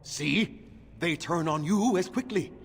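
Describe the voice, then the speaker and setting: An actor playing a vengeful old elf leader in a fantasy game, speaking gravely and formally with defiance. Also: male voice, on the high side, slow, full character voice. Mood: scornful, defiant.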